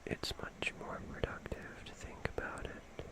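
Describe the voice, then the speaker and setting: A person musing reflectively in a soft, breathy, unvoiced ASMR whisper, leaving long pauses between phrases. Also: rough recording. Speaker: ASMR creator.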